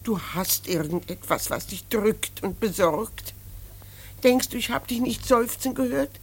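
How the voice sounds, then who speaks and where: A woman's voice: a little high, animated, a little slow, husky, full character voice. An actress playing an anxious, reproachful middle-aged mother in a radio drama, pleading and scolding insistently. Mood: worried, insistent.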